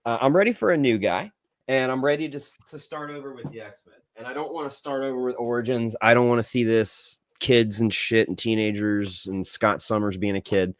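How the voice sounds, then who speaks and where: A man's voice: mid-range voice, fairly quick, no room sound, clean studio sound. A man co-hosting a podcast, giving opinions on films and comics casually, bluntly and emphatically, with swearing. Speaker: podcast co-host.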